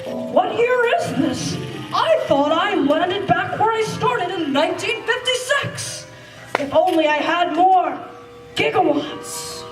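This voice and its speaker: A very high voice in an echoey space, a woman playing an eccentric, eager scientist from the fifties. The delivery is slow, theatrical and exclamatory, as in an amateur radio play, with music behind. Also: animated, exaggerated voice. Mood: puzzled, amazed. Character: eccentric time-travelling scientist from 1956, puzzled, eager. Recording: echoey stage, distant mic, music behind.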